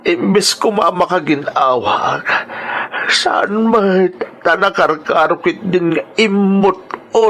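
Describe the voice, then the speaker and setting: Whining old grandfather, high-pitched. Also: a bit bright, a little hiss or hum, heavily acted. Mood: pained complaint. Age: older. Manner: whining, groaning, drawn-out complaints. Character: grumbling old grandfather suffering from an aching hip. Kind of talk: radio drama acting.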